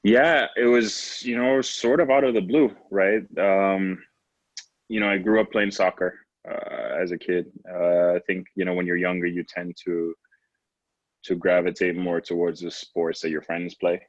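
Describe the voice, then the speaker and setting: A man's voice: low voice, steady tone, a little slow. A man in his late twenties from Trinidad and Tobago, talking conversationally and reflectively as an interview guest, often saying "you know". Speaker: podcast guest, professional basketball player. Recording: roomy, slightly dull lo-fi audio.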